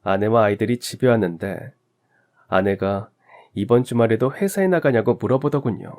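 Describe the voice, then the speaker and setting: A man narrating a family story in a calm, reflective, confessional way. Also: fairly low pitch, moderate pace. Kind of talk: scripted radio story narration.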